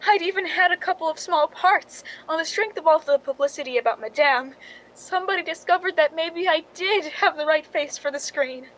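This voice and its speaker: A woman's voice: extremely high pitch, fairly quick, fairly bright tone, slight background noise, rough recording. A woman acting a guilt-ridden actress's stand-in, confessing her story in 1940s radio drama style. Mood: wistful narration.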